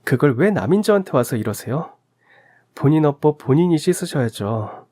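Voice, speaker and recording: A man's voice: fairly high pitch, big pitch swings, normal speed, dark tone, voice pushed up. A man plays a wronged daughter-in-law in a radio drama. She is indignant and bitter, firing accusing questions with cutting sarcasm.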